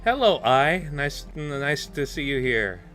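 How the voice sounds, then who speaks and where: A man hosts a casual stream in a mid-pitched voice with lively swings in intonation. He takes his time, stammers and restarts often, and has a giggly, hesitant way about him. A music playlist plays faintly behind him. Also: slow pace. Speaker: livestream host. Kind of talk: casual livestream chat.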